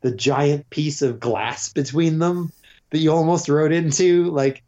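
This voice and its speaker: A Canadian man in his forties tells stories in a loose, rambling way, full of fillers and restarts. His voice is dark and mellow, and the recording is a little muffled. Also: on the high side, a little slow. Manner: rambling, self-interrupting, with frequent fillers and restarts. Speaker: podcast guest; teacher. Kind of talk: casual conversational storytelling.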